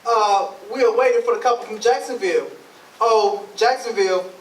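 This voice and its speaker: A man's voice: high voice, normal speed, lightly acted. A man acting a showy master of ceremonies, announcing grandly in a stage reading. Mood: official, showy. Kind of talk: stage readers theatre announcing. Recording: echoey, distant mic, clear noise, clicks.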